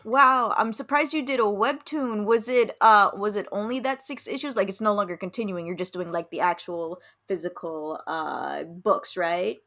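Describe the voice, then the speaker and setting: A woman's voice: mid-range voice, moderate pace, hardly any pauses, fairly bright tone. A woman hosting a casual interview, chatting informally and reacting with exclamations. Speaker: interviewer, show host.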